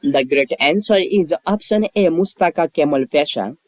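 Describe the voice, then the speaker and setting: A man's voice: a little high, animated, moderate pace, a little hiss or hum. A man reading scripted quiz questions and lettered answer options as a narrator.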